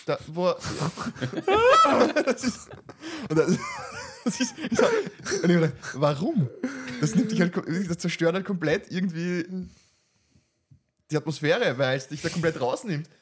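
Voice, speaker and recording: A man co-hosting a film podcast, talking in a quick, animated and opinionated way. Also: a little high, husky. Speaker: podcast co-host. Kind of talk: casual film review chat.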